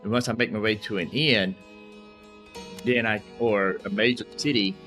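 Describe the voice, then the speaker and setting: A man's voice: on the low side, fairly even intonation, normal speed, pushed and bright. Folksy man doing casual gameplay commentary. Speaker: gaming channel host. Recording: game music behind the voice. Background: game music.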